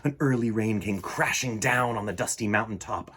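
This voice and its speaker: A man in his forties with a slightly husky voice, reading and presenting a children's picture book in an expressive, dramatic way. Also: on the low side. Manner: animated, dramatic, with deliberate pauses and emphasis. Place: small indoor room in front of bookshelves.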